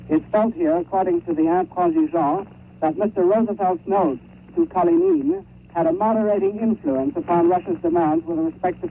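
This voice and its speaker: High-pitched man on tinny old shortwave radio. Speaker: radio news correspondent. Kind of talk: scripted 1930s radio news report. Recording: crackly 1930s shortwave radio, tinny and narrow.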